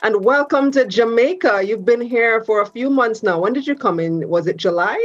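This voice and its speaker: A woman's voice: a little high, moderate pace, muffled recording, soft background music. Woman host asking pointed questions. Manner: direct, pressing questions. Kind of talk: TV business interview.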